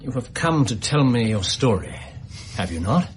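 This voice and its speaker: An actor playing a shrewd, courteous Victorian detective in a radio drama, soothing and urbane, with an English accent. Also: male voice, mid-range voice, very animated, normal speed, slight background noise, natural voice.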